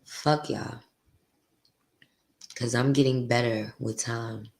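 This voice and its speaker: A woman with a deep voice hosting her podcast, venting bluntly and profanely in a candid, confessional monologue. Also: fairly even intonation, takes it slowly, gravelly, a little mellow. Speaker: podcast host.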